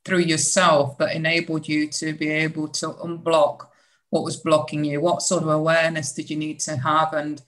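Low-voiced woman hosting a podcast. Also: monotone, moderate pace, a bit bright. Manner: upbeat, probing questions with restarts. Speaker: podcast host. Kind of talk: interview podcast.

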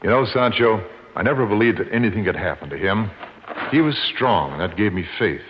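Male actor as determined young avenger in vintage radio drama: American, low register, dramatic, light background hiss. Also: animated, a little fast, lightly acted. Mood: wistful. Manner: resolute, dramatic. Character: determined young man avenging his murdered father, earnest. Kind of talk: 1950s radio acting. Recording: muffled, hissy old 1950s radio recording.

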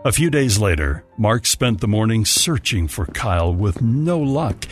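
A man's voice: on the low side, very animated, a little slow, faint music behind. A man narrating and presenting a scripted radio story.

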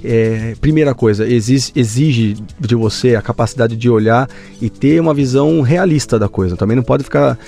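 A man from Brazil, a business founder, answers interview questions. His voice is mellow and warm, and his speech is earnest and halting. Faint music plays beneath. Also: mid-range voice, creaky. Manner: earnest, measured, many hesitations. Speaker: company founder, guest.

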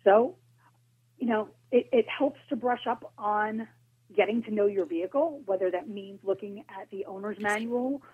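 A woman speaking as an auto club spokesperson, giving measured, practical advice with a few hesitations over the phone. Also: medium pitch, breathy edge. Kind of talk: informative interview answers. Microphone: phone line. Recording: tinny phone line.

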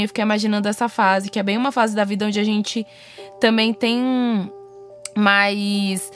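A Brazilian woman speaks as a podcast host, introducing and interviewing. Her voice sits a little high. Faint music plays behind her. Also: fairly bright tone.

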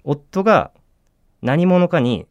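A man's voice: a little high, very animated, takes it slowly, no echo, highs cut off. A man co-hosting a casual radio film chat, explaining eagerly in a polite, deferential manner. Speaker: show writer and co-host presenting film picks.

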